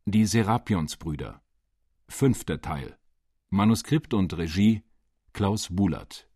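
A man's voice: sits low, expressive, slow pace, darkish tone, husky. A man announcing the title and credits of a radio production from a script.

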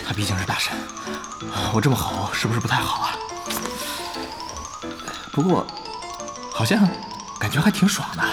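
An actor playing an exasperated, excitable young male guild leader in an audio drama, grumbling and easily flustered. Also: mid-range voice, normal speed, long pauses, lightly acted. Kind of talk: audio drama acting, dialogue and inner monologue. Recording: music bed under dialogue.

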